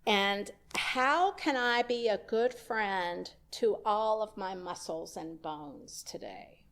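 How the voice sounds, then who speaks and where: A woman minister giving a reflective sermon in a church, speaking in a slow, measured way. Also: mid-range voice. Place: church sanctuary.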